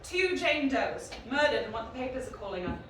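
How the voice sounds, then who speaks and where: A woman's voice: sits high, very animated, fairly quick. An actress playing a defiant, sardonic young woman, telling her own story in a wry, rambling, irreverent way in an audio drama. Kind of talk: dramatic first-person audio drama narration. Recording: echoey, distant, faint background noise.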